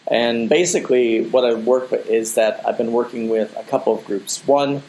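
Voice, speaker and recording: A man's voice: mid-range voice, moderate pace, a little mellow, a little hiss or hum, poor audio. A man with an American accent, a guest artist talking at length in a casual interview, full of restarts and "you know".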